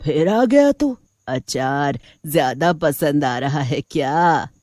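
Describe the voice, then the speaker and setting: A woman voicing a sinister old village granny who is secretly a witch in a horror cartoon, slow and menacing. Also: low-pitched, expressive, a little mellow, dry recording, clean studio sound. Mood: sly, sinister. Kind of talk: Hindi horror cartoon voice acting.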